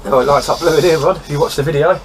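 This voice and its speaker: A man's voice: fairly high pitch, fairly even intonation, rapid-fire, rough recording. A man helping on a boat restoration, making short, offhand remarks in casual chat while he works. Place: on a small boat being restored. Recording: clear background noise on location.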